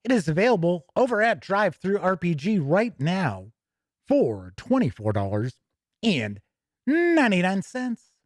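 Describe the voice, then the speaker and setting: A man's voice: high-pitched, very animated, measured pace, no echo, studio quality. A man hosting a gaming news show, moving between chatty asides and emphatic, presenter-style reading. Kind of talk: chatty news presenting, reading product write-ups and answering viewer comments.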